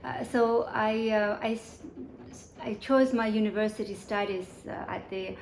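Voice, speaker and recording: A woman in her forties from Kazakhstan, answering interview questions in a measured, thoughtful way with many pauses and restarts. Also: mid-range voice, fairly even intonation, creaky, a little mellow, roomy sound, slight background noise, poor audio. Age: 40s. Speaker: guest, UN section chief.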